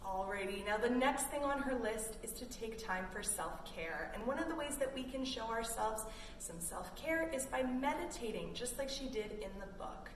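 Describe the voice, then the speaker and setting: A woman's voice: a little high, animated, moderate pace. A woman reading a picture book to children and guiding them through yoga with simple, encouraging cues, recorded in a room. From Canada. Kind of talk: children's read-along and yoga instruction. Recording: roomy echo, distant mic, background noise.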